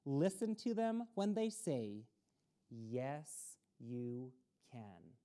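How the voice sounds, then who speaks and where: Deep-voiced woman reading to children. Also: sing-song, extremely slow, warm tone. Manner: encouraging, talks straight to children. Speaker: storytime reader for children. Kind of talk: reading a children's picture book aloud. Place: community access TV studio.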